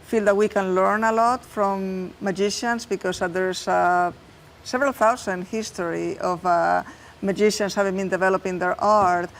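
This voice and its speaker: Female guest, neuroscientist, measured explanatory delivery, mid pitch, light studio noise. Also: fairly even intonation. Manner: measured, explanatory, with some fillers. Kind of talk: TV interview answers.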